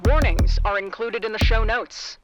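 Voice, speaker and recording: A woman's voice: a little high, moderate pace, muffled recording. A woman announcer reading a formal scripted content warning. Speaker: disclaimer announcer. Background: music clearly behind the voice.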